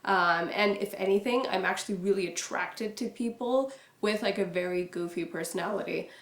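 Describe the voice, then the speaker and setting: A young woman vlogging to camera in a relaxed, reserved way, with a naturally low voice she pushes louder and many casual fillers. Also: normal speed. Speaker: YouTuber. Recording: echoey room.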